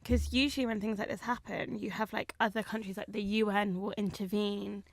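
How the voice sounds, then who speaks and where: A woman's voice: mid-range voice, fairly even intonation, a little fast, gravelly, dry recording. A young woman co-hosting a podcast chat, outspoken and opinionated, swearing freely. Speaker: podcast co-host. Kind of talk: casual chat.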